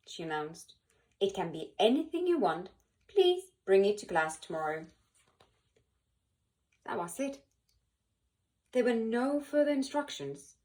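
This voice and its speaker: A woman reading a children's picture book aloud in an animated, expressive way, with dramatic pauses and emphasis. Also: mid-range voice. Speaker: volunteer children's book reader.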